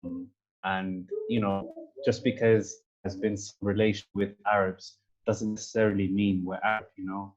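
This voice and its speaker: A man with a London accent giving his opinions in an informal panel talk, haltingly, often repeating words as he makes his point. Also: on the low side, level tone, lo-fi sound. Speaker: panel speaker.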